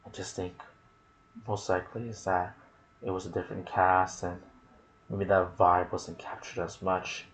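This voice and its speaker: A man giving hushed ASMR gaming commentary, musing softly and often dropping toward a whisper. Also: sits low, monotone, unhurried, a little hiss or hum, low-quality recording. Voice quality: soft, breathy, partly whispered. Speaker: gaming video creator and host. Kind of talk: ASMR gaming commentary mixed with casual chat.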